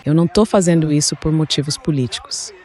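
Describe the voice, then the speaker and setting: A man's voice: fairly high pitch, fast, a little mellow. A man making political remarks in a translated voice-over.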